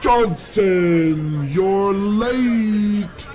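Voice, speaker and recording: A pompous government boss played by a man in a comic radio play. He speaks slowly and a little high, with bombastic flourishes. He is bossy one moment and fawning the next. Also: mellow, a faint crowd, slight background noise. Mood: grand, festive. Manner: bombastic, grandly theatrical delivery. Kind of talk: comic old-time radio play acting.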